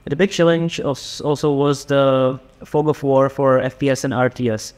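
A man's voice: mid-range voice, fairly even intonation, normal speed, darkish tone. A man interviewing off camera, asking short questions. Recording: distant voice with hall reverb.

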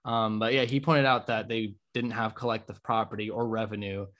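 A man in his twenties hosting an interview casually over a video call, with halting, informal phrasing. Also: lowish voice, flat delivery, a bit bright. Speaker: podcast host. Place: home room, indoors.

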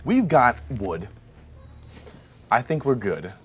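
A man's voice: mid-range voice, sing-song, takes it slowly, faint music behind. A man playing a tabletop game, speaking tersely in improvised roleplay. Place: indoor studio set.

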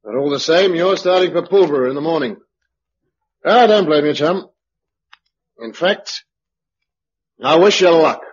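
An actor playing a hot-tempered, argumentative organizer in a British radio drama, with emphatic delivery. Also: male voice, fairly high pitch, expressive, measured pace, long pauses, husky. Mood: earnest. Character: hot-tempered forceful male organizer urging survivors to rebuild. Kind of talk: 1950s-60s British radio drama acting. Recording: dull old radio broadcast recording.

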